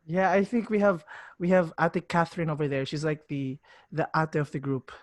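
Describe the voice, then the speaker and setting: A man's voice: fairly high pitch, normal speed, dry recording, studio quality. An eighteen-year-old man from Greece introducing himself to a podcast in a casual, joking way. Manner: giggly, joking. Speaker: guest.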